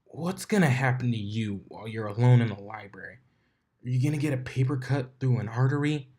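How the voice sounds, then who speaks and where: A man's voice: medium pitch, a little fast, warm tone, natural voice. Man doing a dry, sarcastic young dragon. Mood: exasperated. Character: sarcastic, put-upon young male dragon assistant.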